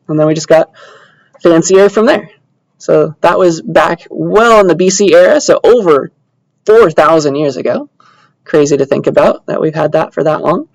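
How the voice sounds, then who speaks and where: A man's voice: a little high, no room sound, poor audio. A man lecturing informally to a computer science class in a conversational way, often checking in with short tag questions. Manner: casual, conversational, checks in with tags like "all right" and "yeah".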